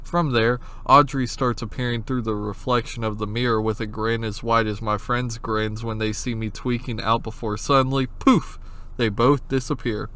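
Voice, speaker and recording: A man's voice: mid-range voice, steady tone, moderate pace, pushed and bright. Man narrating casual, explanatory horror commentary. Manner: informal, explanatory. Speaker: video essayist, horror series analyst.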